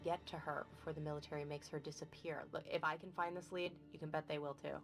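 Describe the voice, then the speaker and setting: A protective young ally in a video game, her voice notably low for a woman. She sounds concerned and urgent, and music plays clearly behind her. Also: flat delivery, fast talker. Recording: loud music over the voice.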